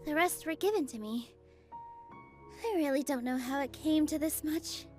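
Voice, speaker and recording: Woman playing a high-voiced, gentle young dancer. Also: normal speed, close to an everyday voice. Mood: friendly, earnest. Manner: soft-hearted, polite, earnest. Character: gentle, modest young female theater dancer. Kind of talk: anime-style video game voice acting. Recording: music behind game dialogue.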